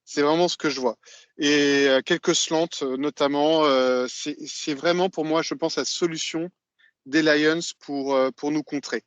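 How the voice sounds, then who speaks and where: Hesitant man, a bit high, dry lo-fi. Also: fairly even intonation, no echo. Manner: hesitant, many filler pauses. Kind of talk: casual podcast chat.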